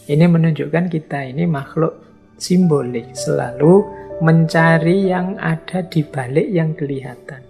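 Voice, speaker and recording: Middle-aged male lecturer, gravelly and slightly hoarse, chatty delivery that acts out everyday remarks. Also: fairly high pitch, a little slow, music in the background. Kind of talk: philosophy lecture in a mosque.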